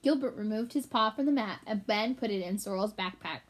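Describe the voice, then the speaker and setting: A woman's voice: medium pitch, brisk. A woman storyteller reading a children's book aloud expressively and in an explanatory way for young listeners.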